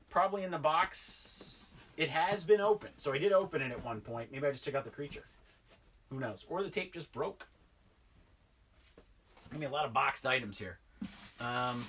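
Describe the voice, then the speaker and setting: Man, 40s, bright crisp voice, chatty and rambling, self-correcting, basement toy room, faint hiss behind. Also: a little high, rough recording. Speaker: toy collector vlogger. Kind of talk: casual unboxing chat.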